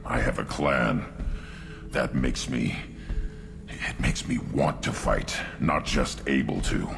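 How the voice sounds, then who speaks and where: An actor playing a young, proud, bloodthirsty alien warrior brute in a video game, blunt and slow, with a deep growling voice. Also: male voice, noisy background, exaggerated voice. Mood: eager, bloodthirsty. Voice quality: deep, very gravelly. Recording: game music behind the voice. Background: music.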